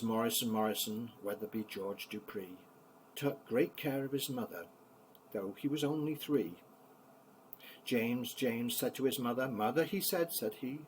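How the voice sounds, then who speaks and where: An older man, a grandfather, reading children's poems aloud in an expressive, theatrical bedtime style. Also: mid-range voice, a little hiss or hum, poor audio.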